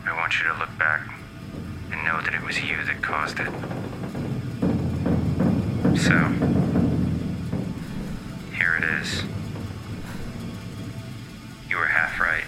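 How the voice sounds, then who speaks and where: A man playing a haunted, bitter scientist gone menacing, giving a brooding, deliberate audio drama monologue as a voicemail. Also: extremely low pitch, monotone, loud music behind the voice. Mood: menacing, resolved.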